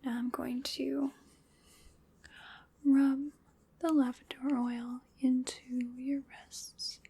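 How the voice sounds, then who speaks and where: A woman voicing a playful, chatty female vampire in a whispered ASMR roleplay, breathy, soothing and wryly polite as she dotes on her prey. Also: high voice, flat delivery, slow, dark tone, dry recording, full character voice.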